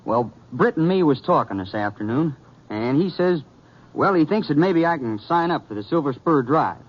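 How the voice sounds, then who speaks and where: A man's voice: a little high, animated, moderate pace, slight background noise, close to an everyday voice. Man playing a headstrong young cowboy, muffled radio. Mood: sheepish, eager. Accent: American. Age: young adult. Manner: folksy rural drawl, stubborn. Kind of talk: old-time radio western acting. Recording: muffled vintage radio recording.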